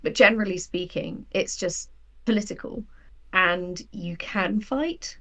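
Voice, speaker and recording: A woman guest on a podcast, a writer and game creator talking casually and telling things in an animated, quote-acting way. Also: mid-range voice, a little slow.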